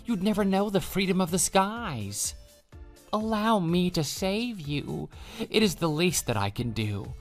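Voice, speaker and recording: A man's voice: sits high, expressive, a little slow, faint music behind. An actor playing a deluded, pushy male office coworker in love, making florid, earnest declarations in a scripted online drama. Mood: grandiose pleading.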